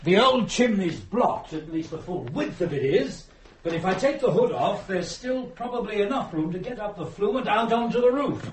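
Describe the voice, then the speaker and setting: A man's voice: sits high, expressive, moderate pace, slight background noise. An actor playing a stubborn, desperate man trapped in a supernatural radio drama, urgent and agitated. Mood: desperate, defiant.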